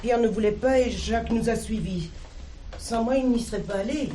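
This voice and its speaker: A woman's voice: a little high, normal speed, warm tone, a little hiss or hum, close to an everyday voice. A woman playing an apologetic, guilt-ridden farmer's wife in a drama. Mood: distressed, remorseful.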